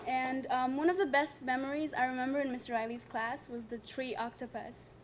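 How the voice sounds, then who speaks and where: A woman's voice: high voice, fairly even intonation, a little fast, heavy background noise. A young woman, giving a prepared tribute speech as a student, giggly and self-conscious between lines. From Nepal. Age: late teens.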